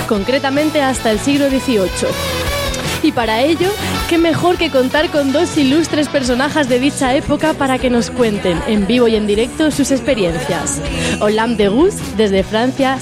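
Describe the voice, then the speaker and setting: Woman doing a high bubbly parody radio host, big announcer delivery, music under it. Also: natural voice. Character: bubbly, parodic radio presenter of a feminist comedy segment. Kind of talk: comic scripted radio presenting.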